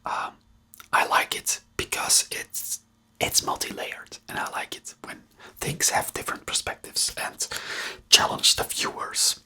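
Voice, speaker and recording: An ASMR host who speaks in a breathy whisper with a non-native English accent, rambling hesitantly and searching for words while giving commentary. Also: measured pace, pushed and bright. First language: not English.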